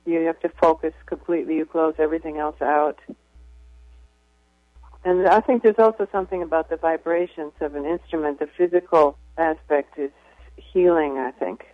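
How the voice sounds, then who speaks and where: A woman violist with a low speaking voice, a radio guest talking thoughtfully with many fillers over the phone. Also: normal speed, dry recording. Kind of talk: casual interview chat. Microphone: phone call. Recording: tinny phone line.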